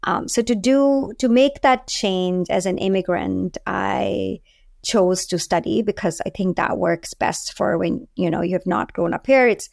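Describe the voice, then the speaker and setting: A woman's voice: medium pitch, unhurried, vocal fry, a little mellow, dry recording, studio quality. A woman in her forties from India, with an Indian accent, talking casually as an interview guest. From Delhi, India. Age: 40s. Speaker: podcast guest, food entrepreneur.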